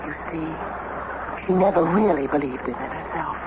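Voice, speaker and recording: An actress in a radio drama playing a shrewd, resolute, skeptical wife of a statesman, speaking with deliberate theatrical emphasis. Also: deep voice. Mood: urgent, reasoning. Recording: muffled old radio with clear noise.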